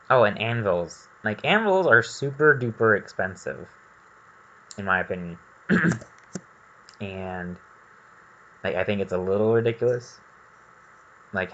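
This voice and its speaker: A man's voice: sits low, pushed and bright, muffled recording, a little hiss or hum. A man doing casual gameplay commentary, rambling and thinking aloud as he goes. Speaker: gaming video host.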